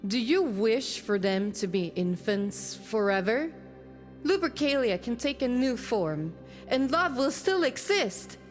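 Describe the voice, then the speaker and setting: A solemn goddess of war speaks in a reverberant hall over music. She is formal, dutiful and resolute, taking her words at a measured, slow pace. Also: a little high, very animated. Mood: stern, urgent. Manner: formal, commanding. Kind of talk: visual novel voice acting.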